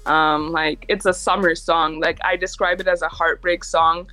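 A woman's voice: fairly low pitch, flat delivery, normal speed, bright, forceful tone. A young woman, a recording artist, chatting casually in an interview and filling her talk with "like" and easy laughs. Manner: chatty, lots of "like" fillers, laughs easily.

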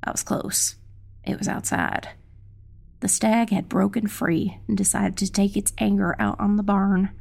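A woman reading a dramatic first-person dark fantasy narration as an audiobook narrator. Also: medium pitch, fairly even intonation, measured pace, gravelly, breathy edge. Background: faint music.

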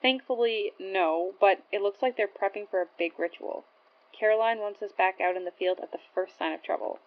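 Seasoned paranormal investigator, female actress, friendly and matter-of-fact, natural drama delivery, medium pitch, slightly muffled recording. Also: a little fast, close to an everyday voice. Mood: casual, matter-of-fact. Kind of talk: naturalistic audio drama acting.

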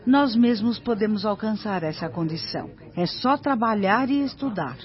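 A woman's voice: mid-range voice, animated, moderate pace, close to an everyday voice. A Brazilian actress playing a patient, helpful female guide who explains things in a scripted radio drama. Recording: dull lo-fi radio recording.

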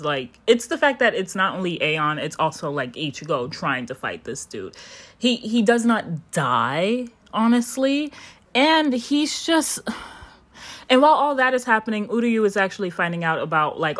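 A young adult woman hosting a casual podcast, rambling and animated as she recaps anime, with frequent restarts and casual swearing. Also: low voice. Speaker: podcast host.

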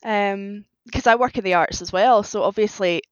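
A woman's voice: medium pitch, moderate pace, no echo. A woman talking casually as a guest writer on a podcast, with slightly dull, lo-fi sound. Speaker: guest author on a fan fiction podcast.

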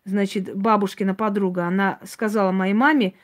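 A woman's voice: mid-range voice, fairly even intonation, a little fast. A woman giving an informal talk and answering listeners as she goes, in a blunt, opinionated, conversational manner. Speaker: host, self-described witch. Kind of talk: informal talk to live audience, answering comments.

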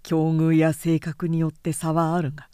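A woman's voice: deep voice, completely flat delivery, normal speed, dark tone, clear, resonant tone, no echo, studio quality, pitched below the performer's own voice, close to an everyday voice. Woman as a grave, brooding samurai father. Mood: grave, reflective.

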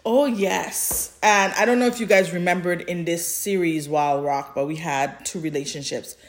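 A woman with a low-pitched voice talks casually as a podcast co-host. She is playful and emphatic, informal in her wording, and the room adds noticeable echo around her. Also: fairly even intonation, normal speed. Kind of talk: casual chat about audio dramas. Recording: roomy, echoey sound.

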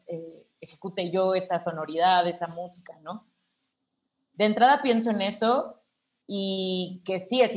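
A woman in her twenties, an actress, talking thoughtfully as a podcast guest, tired yet energetic, often ending phrases with a questioning tag. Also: fairly low pitch. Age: 20s. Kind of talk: reflective conversation.

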